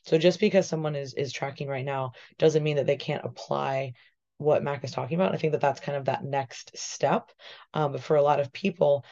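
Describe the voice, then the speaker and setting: A woman, a physique coach, slightly hoarse, explaining her coaching ideas in a relaxed podcast chat over a video call. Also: deep voice, fairly quick. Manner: thoughtful, explanatory, says "right?" often. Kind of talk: casual podcast discussion. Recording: muffled video call audio.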